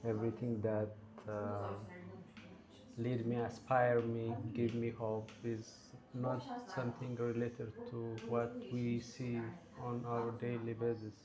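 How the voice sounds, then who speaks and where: A man, answering interview questions in a measured, earnest way. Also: mid-range voice, monotone, rough recording. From Ethiopia. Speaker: guest. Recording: crowd chatter in background.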